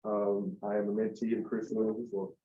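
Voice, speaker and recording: Flat, low man in an echoey room. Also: a little fast. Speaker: dental hygiene student. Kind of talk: self-introduction at a group meeting. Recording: muffled, echoey room, from far off.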